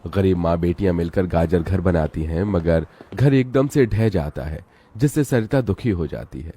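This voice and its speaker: A man's voice: low-pitched, moderate pace, dark tone, breathy edge. A man reading scripted storybook narration for a children's cartoon.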